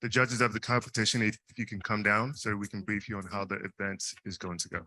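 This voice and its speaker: A man's voice: on the low side, flat delivery, quick pace, pushed and bright, rough recording. A young man, a first-year business student, hosting a live case competition and stumbling over repeated words. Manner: hesitant, frequent repeated words. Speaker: student event host. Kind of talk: live event emceeing.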